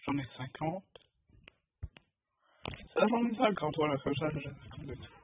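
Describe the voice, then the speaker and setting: A man with a slightly high voice comments casually on a game he is playing. He speaks very slowly, in sparse, offhand remarks to himself. The sound is thin and phone-like. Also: animated. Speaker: gaming video host. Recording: muffled phone-like sound, clear noise, clicks.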